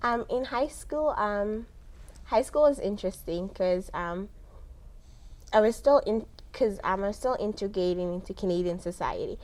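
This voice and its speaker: A woman's voice: medium pitch, normal speed, a little mellow. A young woman who grew up in South Africa with Somali as her first language. She speaks as an interview guest in a conversational way, explaining things and often chuckling. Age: young adult.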